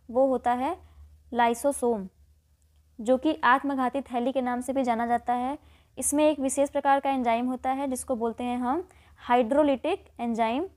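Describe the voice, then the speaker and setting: A woman's voice: sits high, a little mellow. A woman with an Indian accent teaching an exam lesson, explaining questions and answers and often rephrasing key points.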